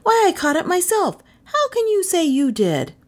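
Woman as an eager, high-voiced young boy. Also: moderate pace. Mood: indignant, pleading. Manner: excited, earnest, exclamatory.

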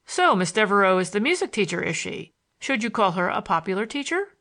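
Woman reading as a wry young gentleman, lively intonation. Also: mid-range voice, fairly quick. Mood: wry, curious. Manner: polite, dry, questioning. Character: courteous, wry young gentleman of twenty-seven, gently condescending.

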